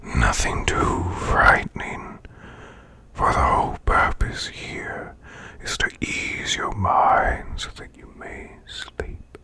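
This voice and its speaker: A man voicing a haughty demon CEO in an ASMR roleplay, with a breathy demonic growl and a formal, menacing yet soothing manner. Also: pushed and bright. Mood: soothing, sinister. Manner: slow, pompous, formal, deliberate.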